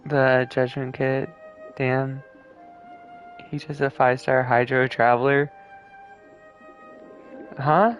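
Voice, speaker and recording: A man giving casual gameplay commentary as a streamer, chatty and thinking out loud. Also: mid-range voice, fairly even intonation, slow, long pauses, highs cut off, faint music behind. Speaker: game streamer.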